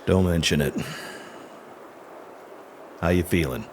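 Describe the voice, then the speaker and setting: An actor playing a grizzled, curt, short-tempered survivalist who swears hard in a horror audio drama. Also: male voice, low-pitched, slow pace, a little mellow, a little hiss or hum. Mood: gruff, consoling.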